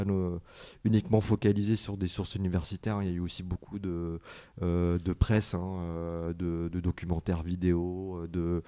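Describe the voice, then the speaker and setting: A man's voice: sits low, warm tone. A man, an author and journalist, answering audience questions at a book talk, hesitant with many fillers and restarts. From France.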